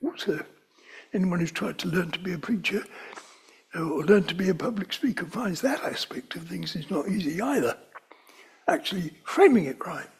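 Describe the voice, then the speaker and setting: A man's voice: high voice, expressive, normal speed, low-quality recording. An older man with a London accent, preaching a sermon in a conversational, digressive way that rises to emphatic stresses. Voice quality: very breathy, often whispery.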